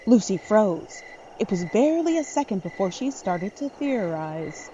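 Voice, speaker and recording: Female drama narrator, medium pitch, animated phrasing, scripted read, slightly lo-fi with faint night noise. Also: a little slow.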